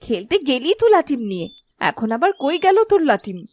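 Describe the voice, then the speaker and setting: Higher-pitched, caring village mother played by a woman. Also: sing-song, brisk, a little mellow. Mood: questioning. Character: caring village mother, attentive to her elder. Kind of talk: cartoon dialogue. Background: faint music.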